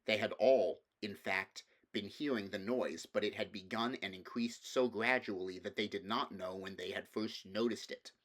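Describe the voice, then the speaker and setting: A man's voice: lowish voice, moderate pace, few pauses, a bit bright. A man reading a fantasy novel aloud as scripted storybook narration. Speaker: amateur audiobook reader.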